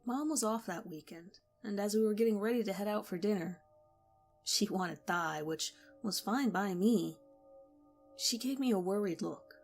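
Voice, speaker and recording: A woman narrating a first-person horror story in a measured, intimate storytelling manner. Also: mid-range voice, a little mellow, soft background music.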